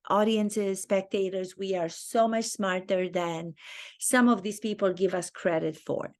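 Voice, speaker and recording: A woman's voice: mid-range voice, steady tone, a little slow, darkish tone. A woman with a Romanian accent, an acting teacher thinking aloud and commenting to camera in her own video. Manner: thinks aloud, earnest and reflective. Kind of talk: talking-head commentary video.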